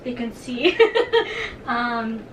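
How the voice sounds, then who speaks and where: A young woman co-hosting a casual podcast, laughing easily and pausing with "um" and "like". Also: a little high, flat delivery, a bit bright. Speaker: podcast co-host. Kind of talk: casual chat. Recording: echoey room, mic at a distance, faint hiss.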